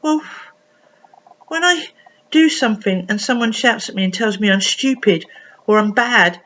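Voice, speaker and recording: Woman as a timid, gentle spirit of calm. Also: medium pitch, moderate pace, highs cut off, natural voice. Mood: sad, earnest. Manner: explaining feelings simply and earnestly.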